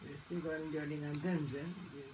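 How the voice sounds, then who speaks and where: A man in casual discussion, explaining his thoughts piece by piece in a halting, reflective way. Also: a little high, level tone, darkish tone. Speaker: listener discussing the poems. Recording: very noisy informal recording.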